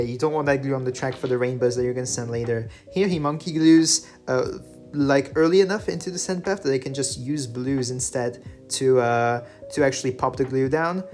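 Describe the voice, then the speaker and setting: A man whose first language is French, talking through his game replays in a relaxed voice-over full of fillers and self-corrections. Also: mid-range voice. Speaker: gaming channel host. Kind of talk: gameplay commentary voice-over. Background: faint background music.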